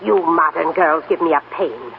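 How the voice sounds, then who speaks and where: A woman's voice: sits low, lively intonation, moderate pace. A feisty, no-nonsense old grandmother in a 1940s radio drama. She is blunt but encouraging. The sound is tinny and narrow, with light noise behind it. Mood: feisty, resolute. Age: older.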